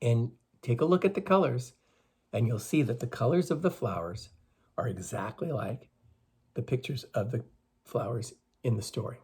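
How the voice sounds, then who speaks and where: A man reading a story aloud to schoolchildren in an expressive, teacherly way, with emphatic phrasing. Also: mid-range voice, normal speed, husky. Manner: teacherly, emphatic, addresses listeners with questions.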